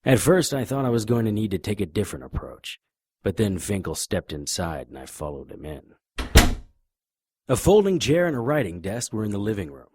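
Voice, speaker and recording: An actor playing a deadpan, hardboiled private investigator, narrating and speaking in a noir audio drama. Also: male voice, lowish voice, normal speed, slightly rough. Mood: deadpan, tense. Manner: deadpan, wisecracking.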